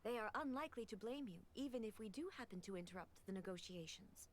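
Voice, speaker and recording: A woman's voice: mid-range voice, lively intonation, slight background noise, close to an everyday voice. Woman playing a composed, regal ruler. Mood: resolute. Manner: measured, formal, unhurried. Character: calm, regal female ruler, composed and dignified. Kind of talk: video game voice acting. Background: game music.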